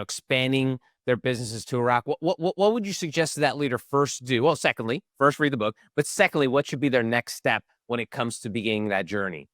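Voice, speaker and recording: Male podcast host, mid-pitched voice, dry close mic, rephrases his questions. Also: fairly bright tone, no echo. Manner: friendly, asks long rephrased questions. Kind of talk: podcast interview.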